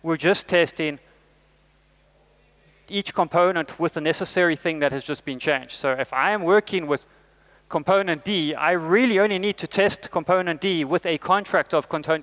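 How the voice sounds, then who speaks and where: A man's voice: fairly high pitch, a bit bright. South African man giving a tech talk. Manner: emphatic rises on key points, frequent "uh" fillers. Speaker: conference speaker. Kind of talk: conference talk.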